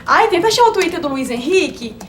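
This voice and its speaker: A woman's voice: very high voice, sing-song, quick pace, noisy background. A young woman playing a vain, fashion-loving girl who giggles and reacts dramatically. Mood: playful.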